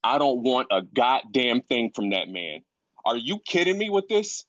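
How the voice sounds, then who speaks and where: A man's voice: mid-range voice, big pitch swings, measured pace, fairly bright tone, no echo, highs cut off. An actor playing a grieving, furious father in a dramatic script reading, speaking emphatically and incredulously, repeating phrases for weight. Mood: outraged, hurt.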